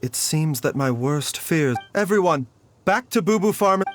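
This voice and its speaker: A man playing a composed physician in a video game, polite, soft-spoken and reassuring. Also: on the high side, expressive, normal speed, soft background music, natural voice. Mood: calm concern. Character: courteous, composed male physician.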